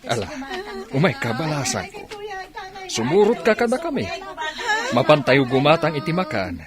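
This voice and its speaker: A man's voice: on the high side, very animated, normal speed, a crowd in the background. A man playing a kind, protective benefactor in an audio drama. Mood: kind, reassuring. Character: kind, protective man taking in a poor girl. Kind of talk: Filipino audio drama acting.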